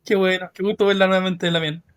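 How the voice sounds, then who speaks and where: A man's voice: high-pitched, level tone, fast talker, a bit bright. A man with a Chilean accent hosting an interview podcast, speaking courteously and formally to his guest. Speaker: podcast host.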